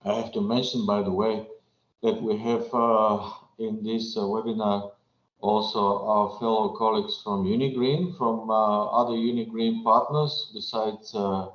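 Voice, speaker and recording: A man's voice: on the low side, fairly even intonation, unhurried. A man who speaks English as a second language, moderating a webinar in a formal, wordy and somewhat hesitant way. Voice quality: non-native English speaker. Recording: roomy, slightly dull webinar audio.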